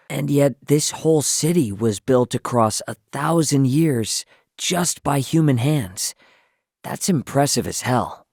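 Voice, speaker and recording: A man's voice: medium pitch, no echo, clean studio sound. A man voicing a brash, good-natured young starship captain in a video game, with a breezy, slangy, informal delivery. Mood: awed. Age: young adult. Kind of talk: English game dub dialogue, casual and expressive.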